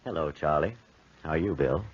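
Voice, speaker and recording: An actor playing an uncanny, watchful double, measured and quietly knowing, in a 1940s radio horror drama. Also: male voice, extremely low pitch, a little hiss or hum. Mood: calm, courteous. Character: ominous, uncanny calm male double, watchful conscience. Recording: muffled 1940s radio broadcast recording.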